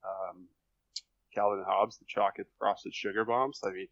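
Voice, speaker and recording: A man's voice: lowish voice, fairly even intonation, moderate pace, a bit bright, dry recording. A man chatting casually and a little hesitantly as a podcast guest, with slightly muffled sound. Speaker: podcast guest, fan fiction author.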